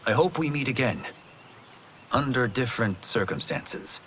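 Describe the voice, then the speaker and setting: A man playing a humble, polite young fighter in a video game, speaking in a calm, respectful, formal way. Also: medium pitch, moderate pace, slight background noise, close to an everyday voice. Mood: courteous. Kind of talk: dramatic video game cutscene acting.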